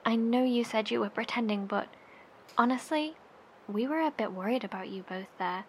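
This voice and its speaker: A concerned, friendly bunker survivor played by a woman in a horror audio drama. Her voice is fairly high, with faint background noise. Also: normal speed, natural voice. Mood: concerned, relieved. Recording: faint noisy ambience.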